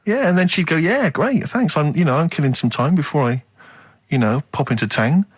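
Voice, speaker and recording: Radio host, man, rapid husky delivery, lively and laughing. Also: on the high side. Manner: animated, laughing often. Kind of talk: casual radio chat. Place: radio studio.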